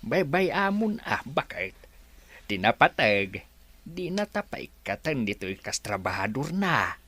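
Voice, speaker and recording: A man's voice: mid-range voice, very animated, a little slow, fairly bright tone, breathy edge, heavily acted. A man playing a deferential, cautious old resort worker with a hesitant, respectful manner in an Ilocano radio comedy drama. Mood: chatty, reassuring.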